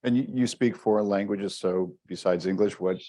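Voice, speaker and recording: A man with a fairly low voice hosts a leadership interview. He asks easygoing, curious questions and draws his guest into telling stories. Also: moderate pace, mellow. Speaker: interviewer, host of a leadership series.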